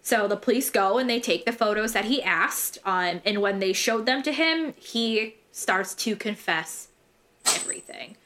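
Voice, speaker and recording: A woman's voice: medium pitch, unhurried, fairly bright tone. A young American woman hosting a true crime podcast, telling the story casually in slangy, irreverent language and swearing freely.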